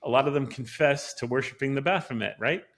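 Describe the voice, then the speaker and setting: A man's voice: mid-range voice, quick pace, fairly bright tone. Eager man explaining at length. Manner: eager, lecturing explanations with many fillers. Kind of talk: casual podcast conversation.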